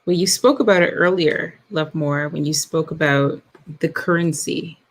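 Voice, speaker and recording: A woman's voice: deep voice, normal speed, rough recording. A woman who works as a declutter coach, a guest who speaks in a reflective way and searches for her words. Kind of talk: casual interview talk.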